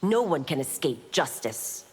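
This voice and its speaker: A stern fantasy lawwoman from a fighting game, formal and skeptical. Her deep voice moves with lively emphasis through long reverb and clear background noise. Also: unhurried. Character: stern, authoritative female law officer of a fantasy realm. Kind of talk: video game pre-fight banter.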